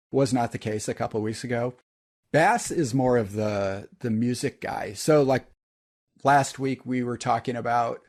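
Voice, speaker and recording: A man with a deep voice, co-hosting a podcast in a rambling, enthusiastic casual chat. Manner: rambling, enthusiastic, many fillers. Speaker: podcast co-host.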